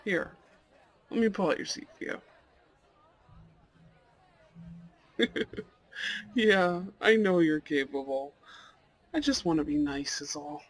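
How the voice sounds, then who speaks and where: A man playing a shy, polite, slightly dorky young goth on a blind date, in a romantic ASMR roleplay, with a husky, creaky voice. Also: fairly high pitch, expressive, a little slow, pauses often. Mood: gentle, pleased. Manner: hesitant, soft-hearted, nervous little laughs.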